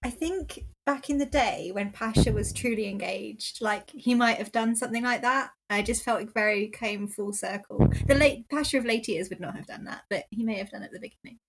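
A woman's voice: fairly high pitch, fairly quick, vocal fry, few pauses, husky, low-quality recording. A woman in casual chat, giving her commentary informally and thinking aloud.

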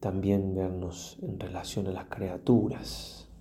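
A low-voiced man leads a guided prayer as a priest. His delivery is very slow and solemn, leaving long pauses and letting phrases trail off softly. The timbre is dark and mellow. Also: little pitch movement, slight background noise. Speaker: priest leading a guided meditation.

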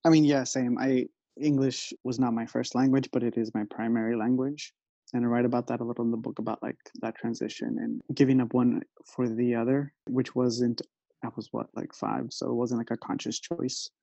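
Gravelly man, dark dry lo-fi sound. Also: medium pitch, little pitch movement, fairly quick, no echo. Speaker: author. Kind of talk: conversational talk.

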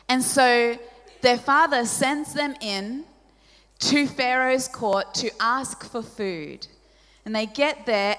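A woman in her forties with an Australian accent, a native Polish speaker, preaching a sermon with animated, self-deprecating storytelling and questions to the audience. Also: on the high side. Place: large church hall. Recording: church hall reverb.

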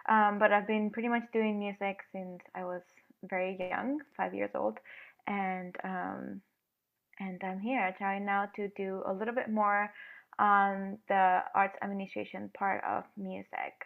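A young woman from Venezuela, a flute player, answering interview questions in a hesitant way with many pauses and ums. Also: medium pitch, little pitch movement, creaky. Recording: phone-like narrow sound.

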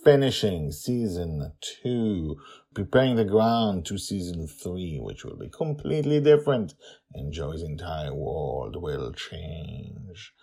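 A man's voice: sits low, lively intonation, slow, gravelly, warm tone, breathy edge. A man hosting a story podcast, talking informally and emphatically as he introduces and explains episodes.

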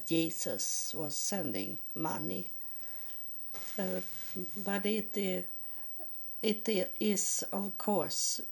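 Swedish woman, English second language, hesitant, word-searching vlog monologue, heavy vocal fry. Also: lowish voice, darkish tone. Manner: halting, searching for words, many restarts. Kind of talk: slow personal spiritual monologue.

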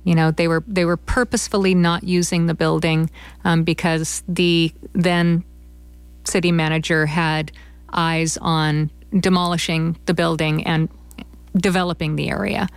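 Woman interviewee, lowish voice, dry close sound. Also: level tone, unhurried, smooth, no room sound. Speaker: interviewee, theater company member. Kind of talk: conversational interview answers.

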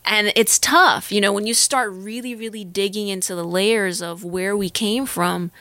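A woman's voice: medium pitch, steady tone, moderate pace, sharp tone, no echo. A young woman with a Northern Californian accent, speaking as an interview guest. She talks in an earnest, reflective way, thinking aloud and restarting her sentences. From Northern California, United States. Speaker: interview guest, actor and musician. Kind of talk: candid conversational interview.

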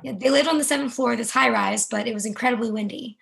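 Brief: female reader as a chatty evacuee, strong vocal fry. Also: a little high, fast talker, a bit bright. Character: woman who sheltered with friends in a high rise.

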